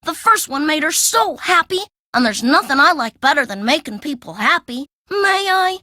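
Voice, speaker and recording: A woman's voice: high voice, very animated, normal speed, bright, forceful tone, no echo, studio quality, full character voice. A woman voicing a sugary, eager-to-please ten-year-old farm girl in a video game, gushing and pleading. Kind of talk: video game character dialogue.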